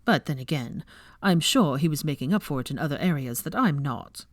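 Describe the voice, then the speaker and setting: Woman doing a low, sardonic young wizard man. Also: lively intonation, fairly quick, dry recording, studio quality. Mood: smug, taunting.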